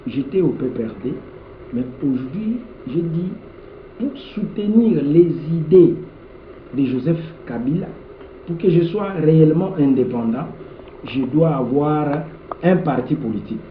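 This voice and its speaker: A man's voice: on the high side, expressive, unhurried. Congolese guy giving emphatic political answers, repeats himself, kinda dull echoey audio. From Democratic Republic of the Congo. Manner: emphatic, repeats words and phrases. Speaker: political party leader being interviewed. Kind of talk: impassioned political interview answers. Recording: echoey, muffled, faint background noise.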